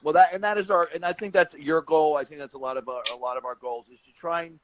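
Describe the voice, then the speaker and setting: A man's voice: a little high, fast, muffled recording. A man hosting an online talk show, rambling with many restarts. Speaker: host.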